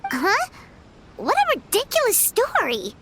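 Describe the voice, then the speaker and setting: A tiny fairy sidekick from a video game with a squeaky, very high voice. She is chirpy and blunt, swinging widely in pitch as she gets suspicious. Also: wildly swooping pitch, measured pace, fairly bright tone, a little hiss or hum, full character voice. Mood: skeptical, indignant. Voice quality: squeaky, childlike. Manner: refers to self in third person, emphatic.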